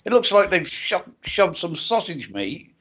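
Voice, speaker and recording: Sweary man, mildly high voice. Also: big pitch swings, a little slow, fairly bright tone, soft background music. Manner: crude, sweary, teasing. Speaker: co-host. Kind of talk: casual banter.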